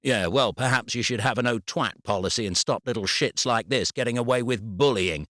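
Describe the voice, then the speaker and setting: A man voicing an angry father who argues in a heated, forceful way. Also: medium pitch, fairly quick, no room sound, studio quality, voice pushed up, close to an everyday voice. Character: indignant father, loud and angry.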